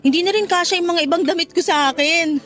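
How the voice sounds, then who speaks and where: Isang babaeng aktres na gumaganap bilang palabiro at mapagpasalamat na dalagang matalik na kaibigan sa dramang panradyo. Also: female voice, very high-pitched, quick pace. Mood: teasing, light. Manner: teasing, expressive. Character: playful, grateful young career woman, best friend. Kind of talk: Tagalog radio drama dialogue, conversational. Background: music.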